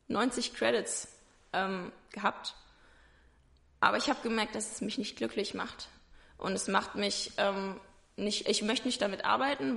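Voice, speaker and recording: Hesitant young woman with a gravelly edge. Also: mid-range voice, a bit bright. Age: 20s. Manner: hesitant, searching for words with many fillers. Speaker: interviewee. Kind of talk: personal conversation.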